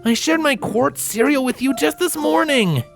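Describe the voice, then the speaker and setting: A woman's voice: high-pitched, animated, normal speed, voice pushed up. Woman doing a young boy dragon, wry, casual, snarky, put-upon, storybook voice, music behind. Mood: grumbling.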